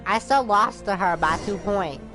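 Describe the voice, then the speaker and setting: A woman's voice: mid-range voice, moderate pace, poor audio. A woman streamer giving casual, chatty gameplay commentary, acting out her own thoughts as she goes. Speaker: gaming streamer. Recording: game music behind the voice.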